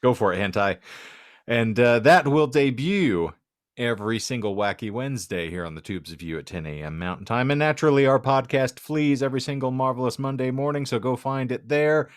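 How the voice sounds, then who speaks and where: A man's voice: medium pitch, lively intonation, fairly quick. A man hosting a comedy podcast, speaking in a wry, wordy, mock-grandiose way as he banters and signs off. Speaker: podcast host.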